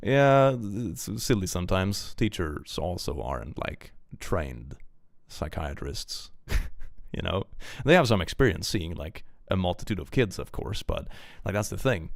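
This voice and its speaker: A man's voice: sits low, big pitch swings, unhurried, gravelly, no room sound, studio quality. A man reading out and reacting to viewer chat in a casual stream, chuckling often and trailing off with fillers. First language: German.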